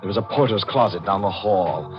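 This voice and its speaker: Man acting deep-voiced, cunning radio murderer. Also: natural voice. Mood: tense, furtive. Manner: intense, dramatic, with slow emphatic pauses. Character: cunning, gloating male murderer telling his own crime. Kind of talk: 1940s radio thriller acting. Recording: muffled 1940s radio recording with organ music. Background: dramatic music.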